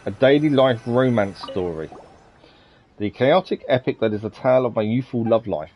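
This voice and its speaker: A man's voice: on the low side, darkish tone. A man livestreaming a video game, reading out on-screen text and chatting with viewers. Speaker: live streamer. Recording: slightly dull sound, game music behind. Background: faint game music.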